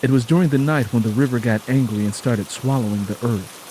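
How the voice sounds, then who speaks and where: Mellow male narrator, solemn storytelling. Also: medium pitch, brisk, slight background noise, low-quality recording. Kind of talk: scripted dramatic story narration.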